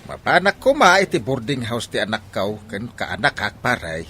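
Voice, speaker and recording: A man's voice: medium pitch, big pitch swings, moderate pace, sharp tone, a little hiss or hum. A man playing a jovial, teasing young fellow with a Filipino accent in a radio drama.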